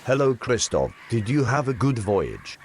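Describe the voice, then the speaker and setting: A man's voice: medium pitch, sing-song, measured pace, background noise. A man playing the courteous but firm ruler of a prison island in an audio drama, speaking formally and with command. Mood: calm, authoritative. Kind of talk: audio drama dialogue in English.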